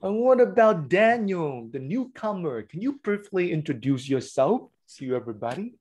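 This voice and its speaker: A man hosting an online teachers' meeting, calling on each teacher in turn and filling pauses with ums. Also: high-pitched, sing-song, darkish tone. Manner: slow, hesitant with many fillers. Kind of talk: hosting a video call.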